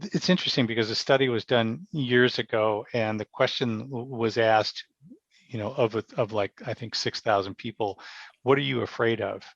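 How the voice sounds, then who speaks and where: Gravelly man in casual interview talk. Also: medium pitch, no echo, lo-fi sound. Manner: halting starts, explanatory, anecdotal. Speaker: podcast guest, stage actor and director.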